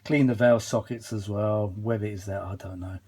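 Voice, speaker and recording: A man's voice: on the low side, fairly even intonation, fairly quick, mellow, breathy edge. A man giving a casual, unscripted workbench update to camera, rambling and thinking aloud with some mild swearing. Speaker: vintage audio hobbyist filming a repair update. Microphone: phone camera held while filming.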